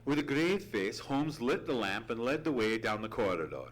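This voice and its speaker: A man's voice: mid-range voice, sing-song, moderate pace, low-quality recording. A man playing an earnest, easily horrified doctor narrating an old-time radio mystery in formal, theatrical style. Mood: tense, suspenseful. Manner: theatrical, suspenseful, formal storytelling. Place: theatre stage, live radio play.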